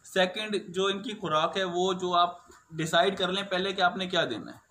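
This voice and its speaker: A man's voice: sits high, normal speed, bright, forceful tone, echoey room. A young man explains things as a cattle farmer being interviewed, often repeating filler phrases, in an open cattle shed. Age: young adult.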